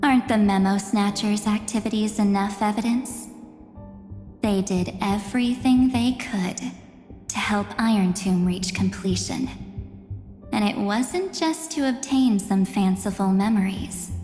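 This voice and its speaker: An actress plays a mysterious young woman from a fantasy video game. She speaks very slowly and deliberately, with calm, theatrical condescension. Her voice rings through a long hall reverb over background music. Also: medium pitch, lightly acted. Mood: grave, condescending.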